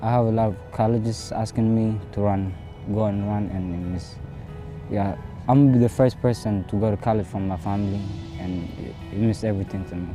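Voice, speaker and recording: A young man, a student runner, speaking earnestly and softly. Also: on the low side, fairly even intonation, moderate pace, soft background music. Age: young adult. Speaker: student athlete.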